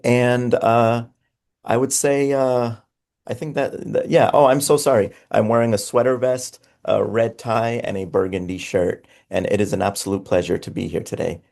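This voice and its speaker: A middle-aged man from Afghanistan introducing himself on a discussion panel, speaking in a formal, measured way with frequent uhs. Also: medium pitch, steady tone, vocal fry, darkish tone. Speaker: program director, panelist.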